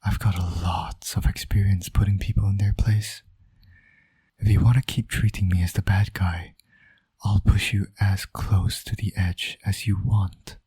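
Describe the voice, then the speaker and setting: A man playing a flirtatious villain and doting father in romantic roleplay audio, speaking in a smug, teasing, intimate way with a breathy, often near-whispered voice. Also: sits low, little pitch movement, unhurried, warm tone, exaggerated voice. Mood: seductive, smug.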